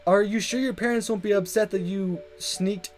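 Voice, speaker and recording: A man's voice: high-pitched, fairly even intonation, moderate pace, soft background music, pitched above the performer's own voice, natural voice. A man voicing a friendly, awkward teenage schoolboy in a visual novel, earnest and easily flustered. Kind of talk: visual novel dialogue read-through.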